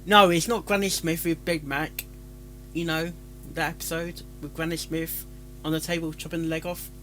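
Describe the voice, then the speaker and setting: A man hosting a reaction video, chatting casually and breaking in with excitable exclamations and questions. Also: a little high, normal speed, soft background music.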